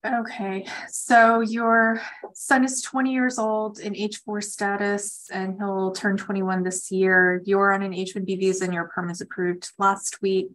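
Woman lawyer on a conference call, mid pitch, explaining stuff straight to callers, conversational. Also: flat delivery, measured pace, a bit bright, low-quality recording. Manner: direct, explanatory. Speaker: immigration attorney hosting a call-in session. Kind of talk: conversational legal advice to callers.

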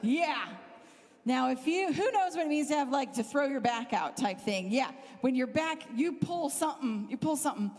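A middle-aged woman with a Southern Californian accent softened by years in the UK, preaching as a guest speaker and telling a funny story about herself. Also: sits high, moderate pace. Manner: animated, self-deprecating, laughs often. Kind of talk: humorous storytelling sermon. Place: church hall. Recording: hall reverb from a live church talk.

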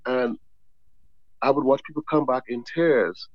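A man from the Bahamas, a guest author, chatting casually in an interview, often repeating words as he tells animated stories. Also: mid-range voice, measured pace, no room sound, lo-fi sound.

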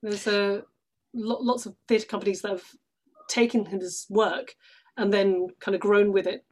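A woman's voice: mid-range voice, flat delivery, unhurried, darkish tone. A woman from London, speaking as an interview guest and explaining things conversationally. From London, England. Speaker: interview guest, stage actress. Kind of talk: casual explanatory interview talk.

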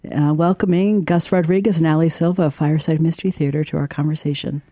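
Female radio co-host, conversational, mellow, dry sound. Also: sits low, steady tone, quick pace, no room sound.

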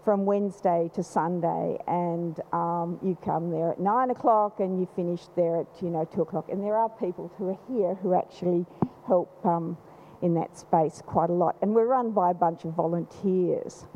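A woman with a loud voice, speaking from the audience at a panel event, rambling with many restarts. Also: fairly low pitch, moderate pace, few pauses, a little hiss or hum. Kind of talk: unscripted comment from the floor. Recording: slightly dull live event recording.

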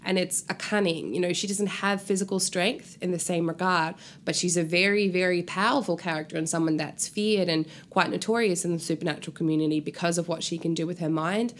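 A woman's voice: fairly low pitch, brisk, few pauses. A woman speaking casually and informally as a guest author in an interview. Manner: animated, informal, frequent "like" and swearing.

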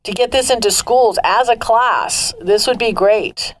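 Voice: mid-range voice, unhurried. A radio co-host asking questions and praising the guest in casual chat. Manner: warm, encouraging interviewer. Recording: loud crowd noise behind the voice.

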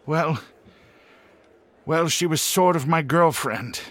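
A man's voice: fairly high pitch, slow, fairly bright tone. A man playing a lovelorn old inventor in a comedic radio play, pleading and faltering. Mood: sheepish confession.

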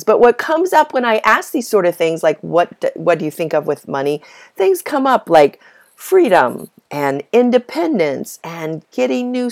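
Female podcast host, lowish and gravelly, slightly hoarse, casual and explanatory delivery. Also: darkish tone. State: slightly hoarse from a minor bug. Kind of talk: casual solo podcast talk.

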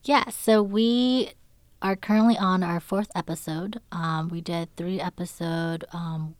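Low-voiced young woman, measured and hesitant. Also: steady tone, darkish tone, no echo. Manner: measured, with frequent ums. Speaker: podcast producer. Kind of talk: casual podcast chat. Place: recording studio.

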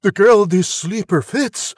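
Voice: medium pitch, wildly swooping pitch, slow pace, a little mellow, slightly rough, no room sound, clean studio sound, exaggerated voice. A narrator acting an imperious, bloodthirsty young prince. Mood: resolute. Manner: declamatory, imperious. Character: haughty, bloodthirsty young fairy-tale prince, male.

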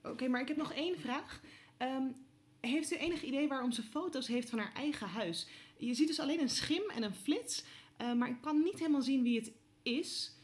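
Young newsroom reporter, played by an actress: somewhat high-pitched, eager, polite, hesitant yet probing, radio drama. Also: female voice, moderate pace, close to an everyday voice. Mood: pleading, persistent. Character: eager, inquisitive young woman reporter, hesitant but persistent. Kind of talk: naturalistic audio drama dialogue.

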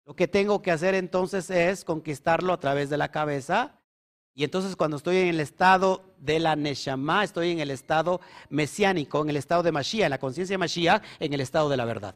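Preacher, male, high-pitched, emphatic teaching style, keeps checking in with his audience. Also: animated, normal speed. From Mexico. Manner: emphatic, asks the audience questions. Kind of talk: sermon teaching.

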